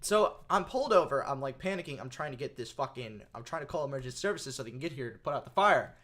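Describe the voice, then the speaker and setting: A young man co-hosting a podcast, telling a story in casual, animated chat with swearing and restarts. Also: mid-range voice, fast. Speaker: podcast co-host.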